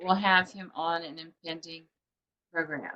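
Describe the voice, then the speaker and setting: A woman's voice: low voice, steady tone, unhurried, pushed and bright, muffled recording. A woman publisher hosting a book show over a video call, chatty and rambling with many fillers. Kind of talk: casual interview chat.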